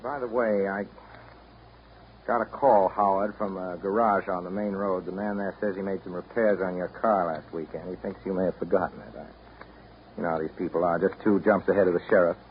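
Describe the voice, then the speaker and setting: A man's voice: low-pitched, steady tone, brisk. An actor playing a wry, skeptical man in a 1930s radio drama, with a dry, sardonic, clipped delivery. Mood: smooth, needling. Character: wry, skeptical man, bluntly needling a woman companion. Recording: noisy, muffled 1930s radio broadcast.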